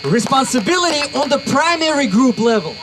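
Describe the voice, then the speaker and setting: A young man with a Russian accent giving an energetic speech to a crowd at an outdoor rally. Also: very high voice, a little fast. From Uzbekistan. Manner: energetic, builds to shouts. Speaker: rally speaker. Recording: noisy outdoor crowd recording.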